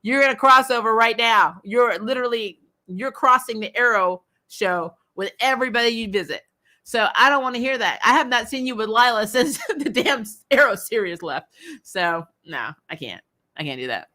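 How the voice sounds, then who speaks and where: An American woman hosting a casual recap show, chatting in a blunt, animated, opinionated way with informal language. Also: fairly high pitch, normal speed. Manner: animated, frequently laughing, blunt and informal with mild swearing. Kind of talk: casual opinionated fan chat, reading and answering comments.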